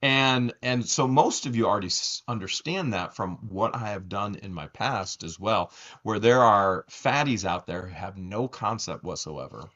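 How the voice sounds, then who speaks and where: A man co-hosting a casual commentary vlog, taunting and mocking, his voice swinging up into shouts. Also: lowish voice, lively intonation, moderate pace, lo-fi sound. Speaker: YouTube channel co-host.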